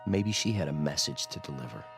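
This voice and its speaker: An actor playing a sarcastic, possessive young banker fiancé in a radio drama, with a wry delivery. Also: male voice, very deep voice, very animated, brisk, gravelly, over music, exaggerated voice. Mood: teasing. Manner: wry, sardonic.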